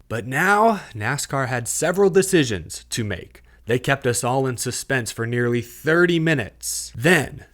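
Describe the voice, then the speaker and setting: An American man hosting a motorsport news show, giving a scripted recap and commentary in a clear, explanatory, emphatic way. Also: a little high, fairly bright tone.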